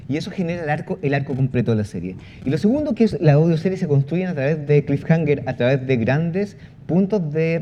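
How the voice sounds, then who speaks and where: A man explaining his craft as a panel guest, halting and repeating words as he goes. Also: a little high, warm tone. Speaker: panel guest, audio fiction creator. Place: live conference panel. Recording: muffled event sound with faint noise.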